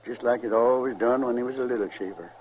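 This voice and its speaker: An actor playing a grieving, worn older rancher father with a rural western American accent, halting and folksy, in a radio drama. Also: medium pitch, close to an everyday voice. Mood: resigned, sorrowful. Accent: rural American West. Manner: folksy, halting, heavy-hearted. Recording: muffled, noisy old radio recording.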